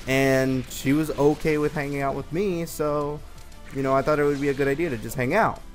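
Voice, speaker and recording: A man's voice: on the high side, steady tone, a little fast. A young man telling a personal story in a casual, rambling, hesitant conversational way. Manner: informal, hesitant, conversational delivery. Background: background music from a game.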